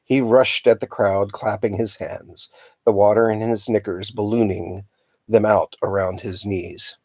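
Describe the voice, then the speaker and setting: A man reading a children's novel aloud as a storyteller, with a dramatic, expressive delivery. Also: lowish voice, unhurried, no echo. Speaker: storytime reader.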